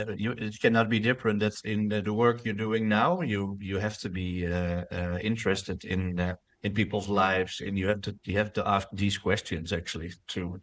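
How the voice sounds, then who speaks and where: Man, podcast host, fairly low voice, hesitant, repeats words. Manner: hesitant, repeats words while forming questions. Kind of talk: interview questions.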